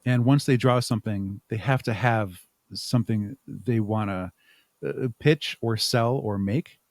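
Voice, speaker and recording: A man's voice: lowish voice, a little slow, no room sound. Casual man chatting as a podcast guest. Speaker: podcast guest, audio drama creator and podcaster. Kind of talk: casual interview chat.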